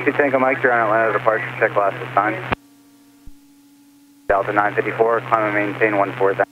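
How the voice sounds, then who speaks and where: Male controller, low and monotone, short procedural calls through dull, noisy aviation radio. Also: fairly quick. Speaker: air traffic controller. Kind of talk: terse radio handoff instructions.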